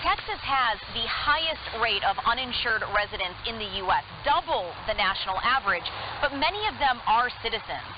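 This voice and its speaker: A woman's voice: fairly high pitch, animated, brisk. A woman correspondent giving a scripted news report over shortwave radio. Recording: muffled shortwave radio with clear noise.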